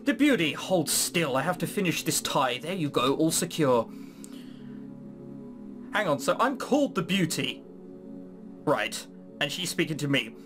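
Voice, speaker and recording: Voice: medium pitch, sing-song, a little slow, pauses often, over music. A let's play host giving casual, sweary, incredulous commentary while reading through a game. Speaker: gaming video host.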